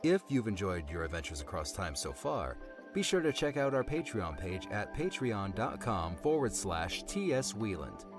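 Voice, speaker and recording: A man's voice: fairly low pitch, normal speed. Upbeat male voice actor outro. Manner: upbeat, animated appeal. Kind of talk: promotional outro. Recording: music under the voice.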